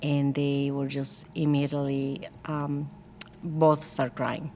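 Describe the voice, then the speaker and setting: Low-voiced Costa Rican woman telling her story. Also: flat delivery, slow, dark tone, slight background noise. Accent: Costa Rican Spanish. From Costa Rica. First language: Spanish. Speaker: guest, church worship team leader. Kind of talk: personal storytelling in a conversational interview.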